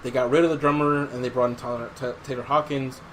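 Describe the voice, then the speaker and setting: A man's voice: mid-range voice, little pitch movement, fast, a bit bright, low-quality recording. A man talking casually as a podcast host, recounting stories in a conversational way. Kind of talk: casual chat. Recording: clear background noise.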